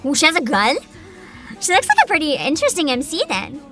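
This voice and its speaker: Young streamer girl, bright high voice, super bouncy and giggly, casual sweary chat, faint music behind. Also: quick pace. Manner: excitable, giggly, informal and sweary. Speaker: livestream host, vtuber. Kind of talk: casual livestream chat and reactions. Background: background music.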